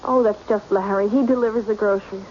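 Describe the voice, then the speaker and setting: A woman's voice: mid-range voice, steady tone, quick pace, close to an everyday voice. An actress playing a timid, obedient young woman in an old-time radio mystery, with a meek, hesitant way of talking. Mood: polite, dismissive. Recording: muffled old radio with faint hiss.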